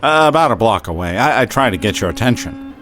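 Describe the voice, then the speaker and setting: A man's voice: mid-range voice, lively intonation, fairly bright tone, soft background music, close to an everyday voice. A man playing a stiff, stammering ex-serviceman. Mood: hesitant. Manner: halting, hesitant, stammers. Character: stiff awkward young ex-serviceman, earnest and dry. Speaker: character in an audio drama. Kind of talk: 1940s radio acting.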